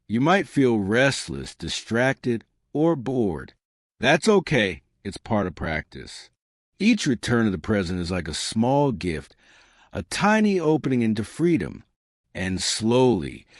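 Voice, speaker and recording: A man in a dry, close recording leads a guided meditation in a low, soft voice. He moves very slowly in short phrases with pauses, and his pitch rises and falls a great deal. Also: dry recording.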